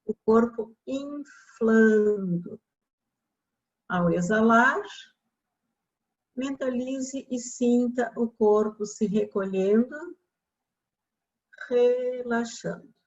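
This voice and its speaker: Brazilian female yoga instructor, very unhurried and soothing, for guided relaxation; slightly muffled, lo-fi recording. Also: a little high. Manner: calm, soothing instruction with long pauses.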